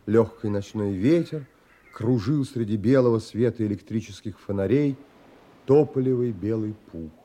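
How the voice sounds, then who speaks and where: A pensive, dreamy gentleman narrating a literary audio play. His voice is mellow and unhurried, with expressive swings in pitch and a measured, unsettled air. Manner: measured, deliberate, dramatic phrasing. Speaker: narrator and lead actor.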